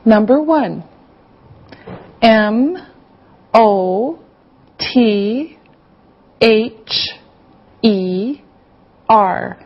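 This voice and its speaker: A woman's voice: on the high side, lively intonation, very slow, long pauses, faint music behind, heavily acted. A woman playing an encouraging English teacher, giving carefully enunciated classroom instructions and dictation. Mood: patient, instructive. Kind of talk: scripted classroom lesson with dictation. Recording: slightly dull lo-fi audio.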